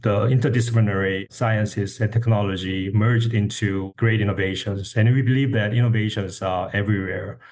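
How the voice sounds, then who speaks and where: Low-pitched man with a Korean accent. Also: fairly even intonation, normal speed, few pauses, a little mellow. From South Korea. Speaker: podcast guest, company chief executive. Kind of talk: interview self-introduction.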